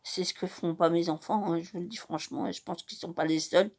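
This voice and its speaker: A woman's voice: lowish voice, steady tone, a little fast, darkish tone. Hesitant woman in her forties. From Madeira, Portugal. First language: Portuguese. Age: 40s. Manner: hesitant, many fillers and restarts. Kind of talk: casual personal monologue.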